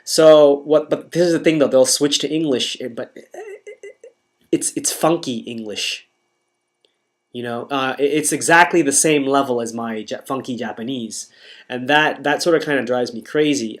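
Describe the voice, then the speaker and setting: Halting American man vlogging casually. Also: medium pitch. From United States. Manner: halting, self-interrupting, frequent restarts. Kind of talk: casual monologue to camera.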